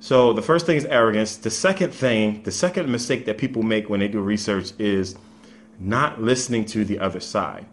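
A man's voice: lowish voice, moderate pace. A man in his thirties giving casual, unscripted commentary, arguing his points and repeating himself for emphasis. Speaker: video commentator.

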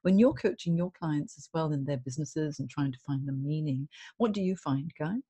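A middle-aged woman from Hong Kong hosts a relaxed video call as a career coach. Her voice is mellow and a touch husky, and the dry close sound puts her right at the mic. She talks expressively and acts out little quotes. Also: sits low, brisk, no echo. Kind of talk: casual video call conversation. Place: home office with plants. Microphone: video call, studio microphone in frame.

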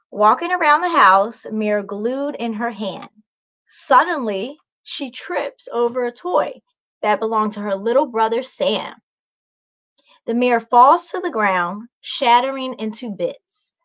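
A woman's voice: a little high, fairly bright tone. A woman in her thirties, a children's author reading expressively on a video call from a small room. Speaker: children's author, guest reader. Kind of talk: storybook read-aloud.

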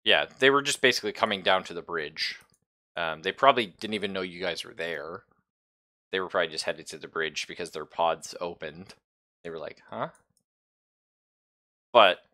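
Bright, low-voiced man running a game. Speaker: game master. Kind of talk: casual narrating of an online tabletop game.

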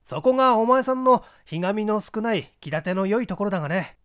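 A man playing a shrewd, kindly detective in a mystery story, speaking in a measured, gently probing manner. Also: high-pitched, animated. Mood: consoling, explaining. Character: Kudayū, composed, kindly, shrewd amateur detective.

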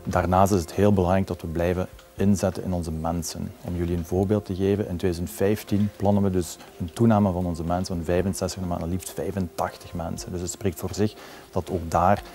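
Flemish man, 40s, low and even voice, warm dark tone, confident corporate talk, faint music behind. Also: fairly quick. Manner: confident, promotional phrasing. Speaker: company executive. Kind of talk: corporate interview. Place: office with glass wall. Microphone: lapel mic.

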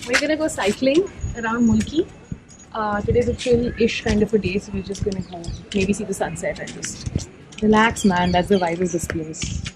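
A young woman talks to her camera at arm's length, bubbly and chatty, full of casual fillers. Her pitch sits in the middle of the range. Soft music plays faintly behind her outdoors. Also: normal speed, poor audio. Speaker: travel vlogger. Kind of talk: casual travel vlog talk. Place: outdoors at a tropical seaside surf club. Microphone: handheld camera at arm's length.

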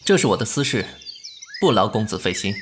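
A man's voice: fairly low pitch, big pitch swings, fairly quick, a little hiss or hum. A man acting a proud, principled, defiant young man in a Chinese costume audio drama, with an earnest, formal delivery. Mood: firm, restrained.

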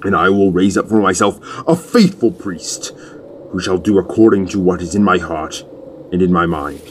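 Man acting stern, low-voiced prophet of judgment. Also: animated, normal speed, a little hiss or hum. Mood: solemn warning. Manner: solemn, declamatory. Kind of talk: Bible audio drama.

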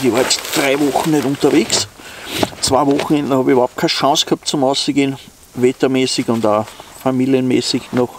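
A man's voice: mid-range voice, a little hiss or hum. A man with an Austrian accent talks casually and unscripted to his viewers in an easygoing, rambling way, as an outdoor vlogger. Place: outdoors in snowy woods.

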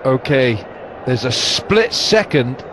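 A man giving live cricket commentary, weighing replays in a measured, slightly hesitant way. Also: medium pitch, big pitch swings, noisy background. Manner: measured, hesitant analysis with fillers. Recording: broadcast commentary over loud crowd.

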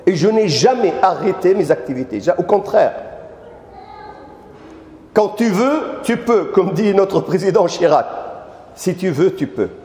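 An older man gives an animated mosque lecture into a handheld microphone, turning often to question his listeners. His voice sits high yet mellow and soft-edged. Long reverb from the hall trails his words. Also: measured pace, long pauses. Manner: animated, addresses audience directly with questions. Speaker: preacher. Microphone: handheld mic.

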